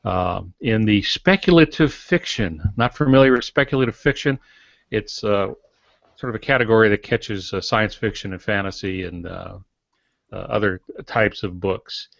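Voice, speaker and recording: Low-voiced man in his sixties, dull audio. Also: moderate pace, gravelly, dry recording. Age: 60s. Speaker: podcast co-host. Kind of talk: informal guest introduction.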